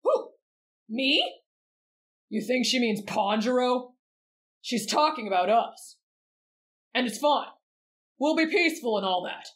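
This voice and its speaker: A woman's voice: on the high side, animated, takes it slowly, long pauses. A woman voicing a brash, blunt, rowdy teenage boy fighter in an anime roleplay, cocky and challenging. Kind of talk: anime fan roleplay audio drama.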